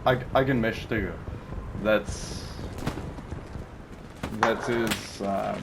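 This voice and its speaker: A man's voice: fairly low pitch, fairly even intonation, very slow, vocal fry, noisy background. A South African man whose first language is Afrikaans, chatting casually over game voice chat and stopping often to search for words. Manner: hesitant, repeats words while searching for them. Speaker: gamer teammate. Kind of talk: casual voice chat while gaming.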